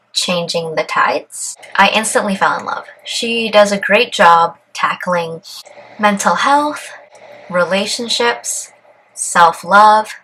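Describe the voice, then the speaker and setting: A woman in her twenties from Canada, whose first language is Cantonese, calmly and earnestly talking through a personal life update. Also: mid-range voice, slight background noise, low-quality recording. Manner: calm, earnest and measured. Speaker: vlogger. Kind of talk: reflective personal vlog, partly read aloud.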